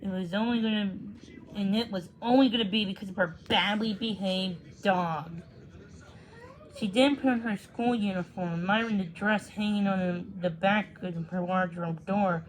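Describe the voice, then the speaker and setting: A woman's voice: medium pitch, moderate pace, poor audio. A woman reading a children's storybook aloud as a read-along storyteller, stumbling now and then over the text.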